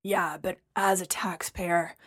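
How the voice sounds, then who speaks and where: A woman's voice: lowish voice, fairly even intonation, takes it slowly, slightly rough. A pompous young man obsessed with health, as a woman narrator plays him in an audiobook. He is boastful and pushy. He delivers everything as a superior, insistent lecture. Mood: haughty.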